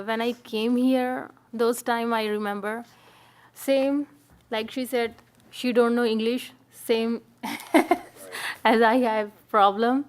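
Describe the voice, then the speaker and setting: A woman with an Indian accent, a native Hindi and Punjabi speaker, telling her story on a panel in halting, simple English. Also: fairly high pitch, clean tone. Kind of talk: personal account on a panel.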